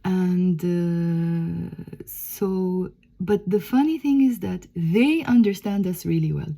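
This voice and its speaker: Lebanese lady teacher, low mellow voice, talks emphatic and chatty, keeps asking okay?. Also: slow, gravelly. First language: Arabic. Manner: emphatic, conversational, checks in with "okay?". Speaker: Arabic teacher. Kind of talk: explanatory teaching talk.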